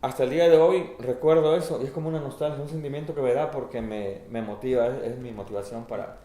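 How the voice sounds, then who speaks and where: A man's voice: medium pitch, racing, mellow, reverberant. A man who sculpts clay talking earnestly and nostalgically about his craft.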